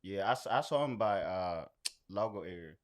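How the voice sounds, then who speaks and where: A man's voice: low voice, animated, normal speed. A young man in a casual group chat, speaking in short, slangy interjections. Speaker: podcast participant.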